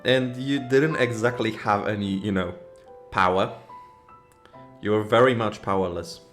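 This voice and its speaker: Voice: mid-range voice, unhurried, fairly bright tone, soft background music. A game streamer giving casual, slangy, emotionally invested commentary during a late playthrough. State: sleepy.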